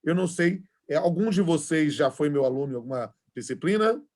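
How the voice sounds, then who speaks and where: A man's voice: fairly high pitch, animated, brisk, a little mellow. A man in his forties with a Bahian accent, a law professor chatting informally to introduce himself to an online class over a video call, with many fillers. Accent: Bahian Portuguese. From Salvador, Bahia, Brazil. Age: 40s. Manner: chatty, filler-heavy, friendly. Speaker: law professor and labor judge.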